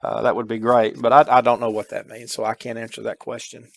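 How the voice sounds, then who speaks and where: A man's voice: mid-range voice, flat delivery, a little fast, creaky. A middle-aged man giving a casual, folksy step-by-step game tutorial on a headset, addressing his viewers informally. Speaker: gameplay tutorial host.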